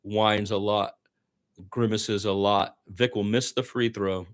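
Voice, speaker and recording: A man with a fairly low voice commentates a basketball game live, radio style. He follows the action in short calls and adds exasperated, partisan remarks as a fan of one team. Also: fairly even intonation, slow.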